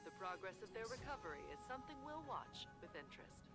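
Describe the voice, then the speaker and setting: Actress as a formal female space news anchor over loud music. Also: mid-range voice, big pitch swings, close to an everyday voice. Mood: formal, composed. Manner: formal, measured, polished. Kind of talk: scripted newscast. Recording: music behind the voice.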